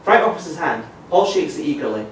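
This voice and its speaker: A man's voice: a little high, sing-song, quick pace, fairly bright tone. A man narrating stage directions from a script at a table read. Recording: echoey room, distant mic, faint noise.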